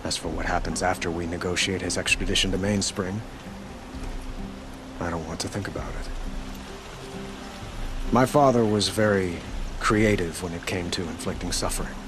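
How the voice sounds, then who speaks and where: Game king male actor: low voice, grave, formal, thoughtful, music underneath. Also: normal speed, long pauses, lightly acted. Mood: somber, regretful. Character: calm, grave young king haunted by his cruel father.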